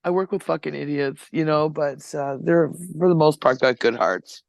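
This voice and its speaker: A man's voice: on the high side, normal speed, gravelly, dry recording. A man with an Albertan Canadian accent, co-hosting a podcast in crude, casual chat, swearing freely and laughing often. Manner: profane, laughs often, animated storytelling. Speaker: podcast co-host.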